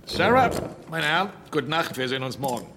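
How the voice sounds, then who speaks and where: Commanding, ambitious captain. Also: male voice, on the high side, sing-song, fairly quick, noisy background. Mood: brisk, commanding. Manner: commanding, decisive. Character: brisk, ambitious male captain used to giving orders. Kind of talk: German radio drama acting.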